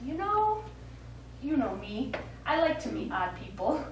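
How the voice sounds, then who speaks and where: A woman's voice: sits high, big pitch swings, measured pace, distant mic. A woman playing an arch, sarcastic, playfully scheming wealthy wife in a live stage play. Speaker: stage actor. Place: small theatre studio, recorded from the audience. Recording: echoey stage recording from afar, background noise.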